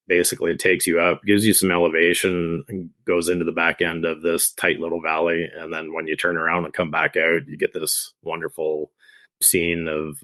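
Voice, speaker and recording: A man with a very deep, flat voice talks as a guest about riding. His speech is halting and rambling, full of restarts. The recording is dry and close. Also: dry recording. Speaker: motorcycle tour operator, guest. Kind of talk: casual interview.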